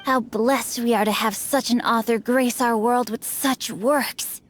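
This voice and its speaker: A man's voice: very high voice, steady tone, measured pace, fairly bright tone, soft background music. An actor voicing a bookish young swordsman who speaks in an eloquent, flowery way in a game. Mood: poised. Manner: eloquent, flowery, gushing about books. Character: bookish young male swordsman, refined and theatrical. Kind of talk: game dialogue.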